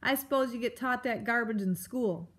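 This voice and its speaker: Woman acting a blustering, high-pitched old porcupine grouch. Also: moderate pace, a bit bright, natural voice. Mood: gruff, grumbling. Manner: blustering, exclamatory, colourful insults. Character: cantankerous old male porcupine, loud, crude, secretly gentle.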